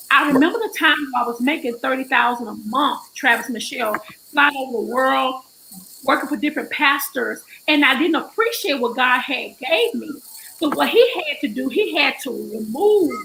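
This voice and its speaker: A woman's voice: high voice, bright, forceful tone, slight background noise, rough recording. A woman guest on a live online talk show, giving her testimony in an emphatic, preacherly way that rises into exhortation.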